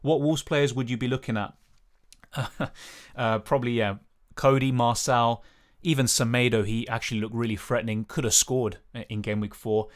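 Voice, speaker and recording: A young man with a slightly raspy voice, casually answering chat questions on a live stream with lots of fillers. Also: medium pitch, fairly even intonation, normal speed. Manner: casual, hedging, many fillers. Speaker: fantasy football streamer.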